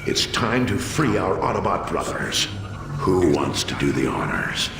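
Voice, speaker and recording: An actor playing a noble, resolute robot leader giving orders in a video game. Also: male voice, deep voice, big pitch swings, unhurried, vocal fry, exaggerated voice. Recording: echoey, loud music and machinery.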